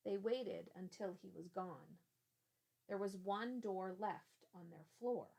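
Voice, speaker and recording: Casting: female teacher narrating a children's book, unhurried, some vocal fry, expressive storyteller. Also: medium pitch, poor audio. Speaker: teacher reading aloud.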